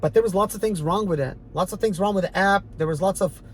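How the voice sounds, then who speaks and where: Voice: mid-range voice, fast, slight background noise. A rideshare driver from the former Soviet Union with an Eastern European accent, talking in a casual, defiant, rambling monologue to a channel audience. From Ukraine, former Soviet Union. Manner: defiant, emphatic and rambling, with many fillers.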